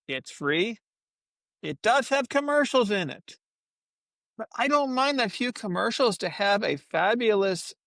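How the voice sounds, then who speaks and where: Male podcast panelist, high pitch with heavy fry, rambling casual fan talk, dry sound. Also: a bit bright, no room sound.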